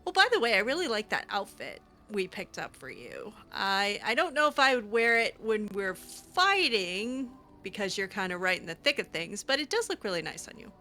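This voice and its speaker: A woman's voice: mid-range voice, sing-song, normal speed, few pauses, pushed and bright. A woman improvising in-character roleplay as she plays a game, chatty, hesitant and wry. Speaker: gaming channel host roleplaying a mage character.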